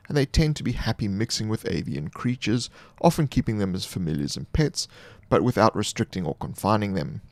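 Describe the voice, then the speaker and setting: A man reading scripted fantasy monster lore as a narrator, in a measured, formal storytelling manner. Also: on the low side, no room sound.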